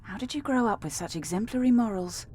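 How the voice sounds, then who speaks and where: A woman's voice: medium pitch, fairly quick, a little mellow, slight background noise, close to an everyday voice. An actress playing a stern, sardonic female assassin in a video game, with a dry, threatening delivery.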